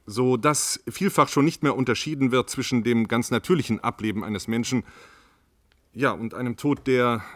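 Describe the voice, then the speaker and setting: An actor plays a male government spokesman in a radio drama. He is bureaucratic and measured, always appeasing and evading on a panel. The sound is dry and close, with a medium-pitched voice. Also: fairly bright tone, dry recording, close to an everyday voice. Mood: evasive, measured. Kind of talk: radio play acting as a panel discussion guest.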